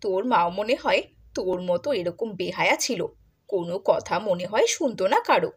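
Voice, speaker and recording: A woman acts out a cruel middle-aged cartoon stepmother. She is theatrical and scornful, quick to scold and given to gushing over her favourite child. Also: medium pitch, lively intonation, breathy edge. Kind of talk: Bengali cartoon dubbing.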